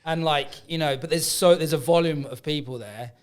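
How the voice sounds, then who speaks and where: A man's voice: on the high side, moderate pace. Teasing man in casual chat. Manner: joking, teasing, laughs often.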